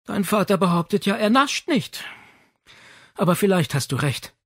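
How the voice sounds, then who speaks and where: A man's voice: sits high, big pitch swings, normal speed, breathy edge, dry recording, clean studio sound, pitched above the performer's own voice, full character voice. A man voicing an exasperated, fretful Italian mother in an audiobook, indignant and plaintive. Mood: worried. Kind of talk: audiobook character acting.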